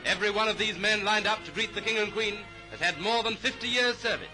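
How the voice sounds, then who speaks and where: Old-timey newsreel guy, high bright voice, brisk and declamatory, band music underneath. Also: rough recording. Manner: clipped, declamatory, upbeat. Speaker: newsreel narrator. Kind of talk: formal 1930s newsreel narration. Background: orchestral music.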